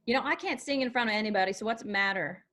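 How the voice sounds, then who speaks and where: Young female, an actress as a wry, loving daughter with dry comebacks and a very high voice, for screenplay drama. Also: racing, a bit bright, natural voice. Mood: defensive, wry. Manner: smart-alecky, dry comebacks. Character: sardonic, loving young daughter, shy aspiring country singer. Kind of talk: screenplay table read acting.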